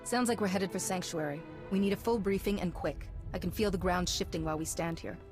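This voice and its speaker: Actress as a lowish, self-assured jedi heroine, composed and firm, game music behind her. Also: a little fast, close to an everyday voice. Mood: determined. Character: confident, composed female Jedi warrior. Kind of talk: scripted game dialogue.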